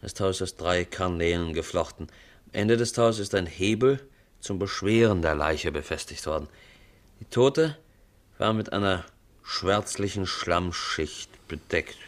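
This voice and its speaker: Nonchalant reporter, deep voice, wry and flippant; vintage radio crime play. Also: male voice, measured pace. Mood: bored. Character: flippant, easily bored male newspaper reporter. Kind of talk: 1950s German radio crime drama acting.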